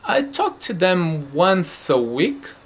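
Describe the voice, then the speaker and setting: Brazilian man, relaxed hesitant interview answers. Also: high-pitched, takes it slowly. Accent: Brazilian Portuguese. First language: Portuguese. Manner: relaxed, hesitant, frequent fillers and light laughs. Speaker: futsal player. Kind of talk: casual interview. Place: home.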